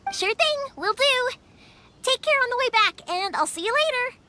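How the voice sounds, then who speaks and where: High, lively, spirited young woman. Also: moderate pace, slight background noise, exaggerated voice. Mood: bright, friendly. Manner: chatty, animated, informal, playful. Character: cheerful, spirited young woman fireworks maker, kind to children. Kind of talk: video game voice acting, English dub.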